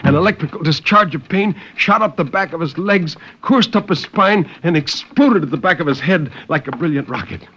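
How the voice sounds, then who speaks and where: A man giving a dramatic, deliberate and intense reading of suspense fiction in 1950s radio style. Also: fairly high pitch, lively intonation, a bit bright, breathy edge. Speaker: story narrator. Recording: muffled, noisy 1950s radio recording.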